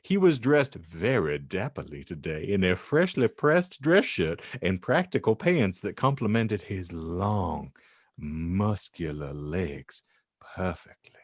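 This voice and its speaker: A man hosting a comedy podcast, reading fan fiction aloud in a mock-dramatic, teasing, deliberate way. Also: sits low, sing-song, no room sound, studio quality. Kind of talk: mock-dramatic audiobook narration with joking banter.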